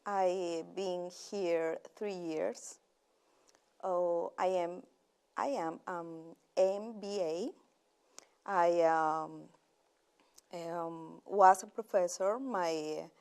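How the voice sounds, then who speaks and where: A middle-aged woman with a Colombian accent, a native Spanish speaker, answering interview questions haltingly and searching for words. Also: on the low side. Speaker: interview guest, committee member.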